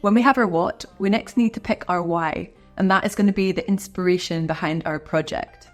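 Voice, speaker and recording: A woman's voice: fairly low pitch, fairly quick, faint music behind. A woman artist chatting to camera in an encouraging, friendly way, sounding a little stuffed up from a cold. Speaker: artist and video creator. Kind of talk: casual talk to camera.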